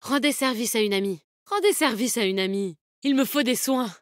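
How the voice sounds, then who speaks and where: A woman's voice: on the high side, normal speed, no room sound, clean studio sound, close to an everyday voice. An actress playing a tough, confident, protective woman fighter in a video game, with a bold, cheeky, commanding manner. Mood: brisk, alert. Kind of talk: video game character voice lines, combat callouts.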